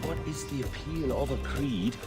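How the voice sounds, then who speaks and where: A man playing a haughty, philosophical older pirate captain in a video game, speaking in a measured, theatrical, pompous way. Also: mid-range voice, natural voice. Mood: musing, menacing. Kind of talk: video game cutscene dialogue. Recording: loud music behind the voice.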